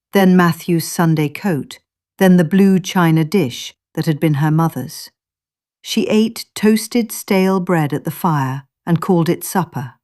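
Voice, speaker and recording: A woman narrates a scripted story in a low register. Her delivery is very unhurried and measured, with a literary storyteller's phrasing that gives each clause its own space. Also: darkish tone.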